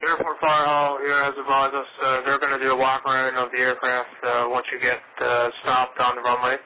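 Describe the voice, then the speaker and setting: A man's voice: mid-range voice, completely flat delivery, a little fast. A man working as an air traffic controller, giving instructions to pilots over aviation radio. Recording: muffled aviation radio transmission.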